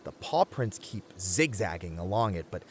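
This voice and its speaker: A man acts out an anxious young male camper in a comic audio roleplay. His pitch moves around a lot as he hesitates, stammers and wryly argues for good sense. Faint noise sits behind him. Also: sing-song, moderate pace. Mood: thoughtful. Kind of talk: ASMR audio roleplay comedy acting.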